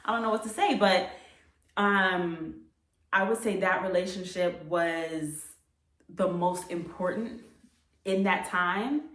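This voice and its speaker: A young American woman vlogger speaks in a fairly low voice, sharing her story in a reflective, conversational way. She repeats phrases for emphasis. The sound is quite echoey, picked up some distance from the microphone. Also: takes it slowly, a bit bright. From United States. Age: late 20s. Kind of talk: casual personal storytelling to camera.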